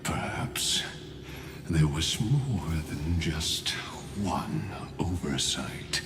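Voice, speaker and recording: Very deep, ponderous genius. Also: male voice, animated, full character voice. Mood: grave, musing. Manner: slow, deliberate, halting phrasing. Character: grave, aloof male genius with ponderous, measured temper. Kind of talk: dramatic video game dialogue. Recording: echoing voice under music.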